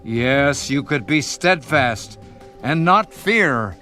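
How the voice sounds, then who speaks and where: An actor playing a stern, self-righteous man rebuking his friend in a deliberate, rhetorical way in a dramatised scripture reading. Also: mid-range voice, lively intonation, pushed and bright, soft background music. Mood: stern rebuke.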